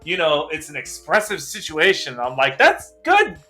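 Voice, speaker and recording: A man hosting a casual work video show, excitable and quick to laugh as he chats with a guest. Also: fairly high pitch, faint music behind. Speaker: host.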